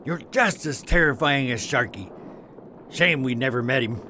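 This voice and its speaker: An actor playing a rough, wily veteran pirate in an audio drama, talking in colloquial sailor dialect. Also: male voice, medium pitch, moderate pace, bright, forceful tone, slight background noise, lightly acted. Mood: grim, reminiscing. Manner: colloquial, swaggering pirate talk. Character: rough, wily veteran pirate, Captain's trusted old hand.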